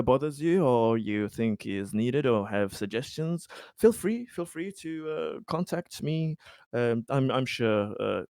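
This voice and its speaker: A courteous colony councilman, played by a man in a tabletop roleplay, eager to please. He talks haltingly and politely in a mid-pitched voice, rambling and repeating words, recorded close and dry. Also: a little mellow, dry recording. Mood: friendly, encouraging. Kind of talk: improvised in-character tabletop dialogue.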